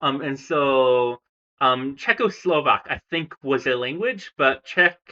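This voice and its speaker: A man's voice: medium pitch, sharp tone, smooth, muffled recording. A man from the United States talking casually about languages and countries, hesitant and full of fillers and restarts. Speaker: language vlogger. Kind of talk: casual rambling commentary.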